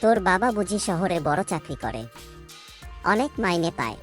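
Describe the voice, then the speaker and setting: Woman as a lively, bold village schoolboy. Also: lowish voice, moderate pace, soft background music. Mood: plain. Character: village schoolboy, bold, hardworking son of a poor farmer.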